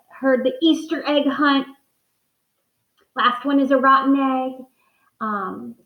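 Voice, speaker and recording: Female primary teacher, expressive read-aloud for kids, fairly high voice, echoey room. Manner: expressive, addressing young children. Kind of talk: storybook read-aloud with casual asides to pupils. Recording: echoey room, slightly dull sound.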